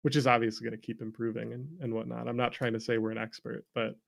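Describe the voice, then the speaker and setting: A man with a medium-pitched voice chats casually as an interview guest. He rambles as he explains things and often pokes fun at himself in a jokey way. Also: level tone, quick pace, gravelly. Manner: rambling, self-deprecating, jokey. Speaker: interview guest, game developer. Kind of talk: casual chat.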